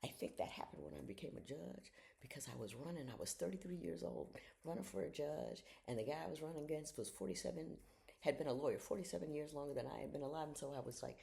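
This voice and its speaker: Sixties lady chatting from home at sunrise, frank and folksy, kinda gravelly, goes all whispery sometimes. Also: sits low, animated, fast talker. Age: 60s. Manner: frank, folksy, drops into soft half-whispered asides. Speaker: retired judge giving life advice. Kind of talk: casual reflective talk to viewers. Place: home in the early morning. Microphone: camera she leans toward.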